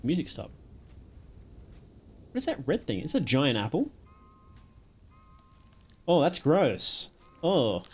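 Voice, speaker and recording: A man's voice: fairly high pitch, wildly swooping pitch, slow, pauses often, slightly rough. A man giving casual live commentary as a streamer, with wry, joking asides. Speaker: streamer reacting to a horror game video. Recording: music playing behind the voice. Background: music.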